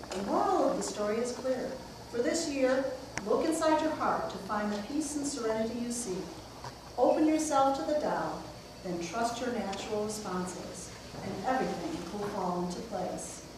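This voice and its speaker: A woman playing a solemn storyteller, delivering scripted narration of calm wisdom for a puppet show. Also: a little high, moderate pace, natural voice. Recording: very echoey, distant, background noise.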